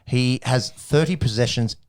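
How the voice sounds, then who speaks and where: A man in casual sports-podcast chat, at an ordinary mid pitch. He rambles, restarts his sentences and cracks jokes, laughing often. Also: normal speed. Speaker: podcast co-host.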